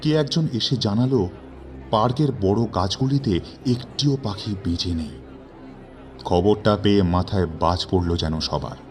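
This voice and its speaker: A man narrating a horror story in a dramatic, suspenseful way with measured, theatrical phrasing. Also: fairly low pitch, darkish tone, a faint crowd. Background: background music.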